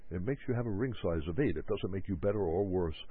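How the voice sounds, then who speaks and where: A man's voice: deep voice, lively intonation, fast talker, breathy edge. A man hosting a motivational self-help talk on the radio. He repeats his points and acts out people's worried inner voices. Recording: narrow phone-like radio audio.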